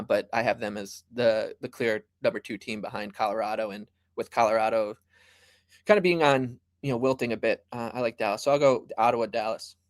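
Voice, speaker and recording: A man's voice: medium pitch, no room sound. A male guest analyst giving picks in casual chat, hesitant and thinking aloud. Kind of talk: casual sports betting chat. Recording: dry close sound.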